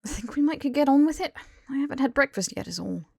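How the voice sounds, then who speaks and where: A woman's voice: high-pitched, expressive, quick pace, gravelly, a little mellow, no echo, clean studio sound, pitched above the performer's own voice. Woman as a wry, flustered, grumbling young man. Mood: wry, impatient. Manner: informal, wry, protesting. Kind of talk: audiobook character dialogue.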